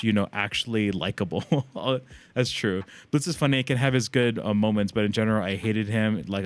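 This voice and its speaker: A man chatting casually as a livestream host and gamer, rambling in loose slang with frequent swearing. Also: on the low side.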